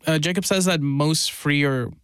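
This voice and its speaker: A man's voice: fairly high pitch, moderate pace, clear, resonant tone, dry recording, studio quality. Explaining male podcast reporter. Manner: explanatory, lightly amused. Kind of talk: conversational news podcast.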